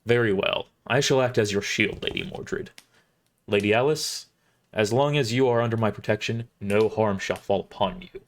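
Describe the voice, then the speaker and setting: A man's voice: fairly low pitch, normal speed, slight background noise, lightly acted. A man voicing a noble, stern holy knight in a formal, solemn, declamatory way for an audio story.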